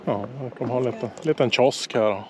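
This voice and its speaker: A man vlogging a family road trip, chatting casually while filming at an outdoor mountain viewpoint on a handheld camera. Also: medium pitch. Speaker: travel vlogger. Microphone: handheld camera mic. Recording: noisy outdoor camera audio.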